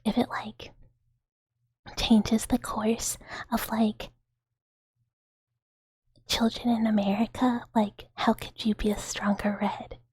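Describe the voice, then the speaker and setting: A woman's voice: on the high side, little pitch movement, slow pace, pauses often, darkish tone, husky, no room sound. A woman livestreamer whispering casually to her viewers in an airy ASMR style, with a playful, rambling manner. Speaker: livestreamer hosting a chat stream.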